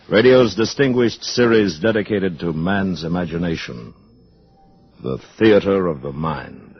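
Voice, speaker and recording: A man with a low voice and an American accent makes a grand, formal announcement in old radio style. He speaks deliberately over faint music. The recording is dull and aged. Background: faint orchestral music.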